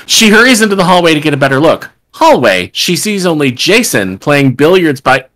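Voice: mid-range voice, very animated, brisk, fairly bright tone. A person on a video call reading stage directions aloud, with exasperated, sweary joking asides. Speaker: table read participant reading stage directions. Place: home room, remote video call.

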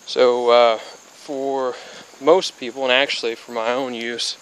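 A man in his twenties talks through a backyard build with an even, flat delivery at a middle pitch. He loses his thread often, filling gaps with "uh" and correcting himself. Faint crickets and insects hum behind the close camera mic. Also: takes it slowly, poor audio. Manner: rambling, many fillers and restarts, flat delivery. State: a bit sick and spacey. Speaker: DIY hobbyist. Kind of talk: casual how-to explanation. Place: outdoors by a car, then under a metal-roofed shed.

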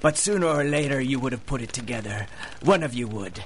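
A man's voice: mid-range voice, lively intonation, brisk, slight background noise, lightly acted. A man playing a vengeful, menacing supervillain with a theatrical, taunting manner in a radio drama. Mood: cold, vengeful. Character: vengeful, menacing male supervillain with mind powers. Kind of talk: 1930s radio acting.

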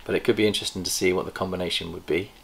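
A man's voice: low-pitched, fairly even intonation, vocal fry, fairly bright tone, slight background noise. A middle-aged man, hosting a chatty snake-breeding vlog from an indoor reptile room. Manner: chatty, enthusiastic, pauses to recall details. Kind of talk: casual show-and-tell vlog. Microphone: camera across a table.

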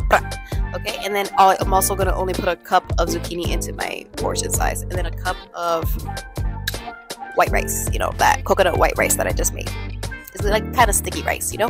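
A young woman in her twenties vlogging her meal prep, talking casually and slangily straight to a phone camera. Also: mid-range voice. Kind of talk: casual vlog chat. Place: grocery store, then home kitchen. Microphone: handheld phone camera at arm's length.

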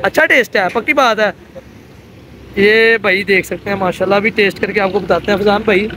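A man's voice: high voice, brisk, noisy background. A man, chatting casually for a vlog on a phone outdoors. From Pakistan. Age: 20s. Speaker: vlogger. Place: outdoors on city streets at night. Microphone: phone at arm's length. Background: music.

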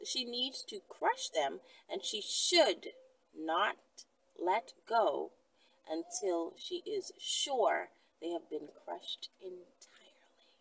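A woman's voice: creaky, breathy edge, far from the mic. A woman in her thirties chatting casually to camera from inside a car, speaking emphatically and repeating herself, with frequent check-ins to her viewers. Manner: emphatic, repetitive, checks in with "right?" and "okay?". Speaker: vlogger answering viewer questions. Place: inside a car, often moving. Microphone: camera or phone mounted at arm's length. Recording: noisy car interior, off-mic, vehicle rumble. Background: loud vehicle and road noise.

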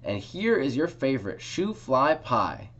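A man's voice: mid-range voice, big pitch swings, a little slow, a bit bright, muffled recording, voice pushed up. Cheerful storybook boy, as a man puts him on. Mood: delighted. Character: cheerful young boy, fond of his pet fly.

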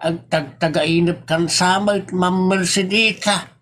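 Man playing a high-voiced, slow grumbling older husband, Ilocano accent. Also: fairly even intonation, background noise. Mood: flustered, suspicious. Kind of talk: Ilocano comedy audio drama acting.

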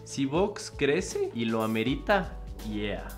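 A man's voice: mid-range voice, big pitch swings, slow. Dry-witted Ecuadorian man, mid voice. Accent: Ecuadorian Spanish. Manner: short dry quips. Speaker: YouTuber co-host. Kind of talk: casual deadpan answers. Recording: faint background music.

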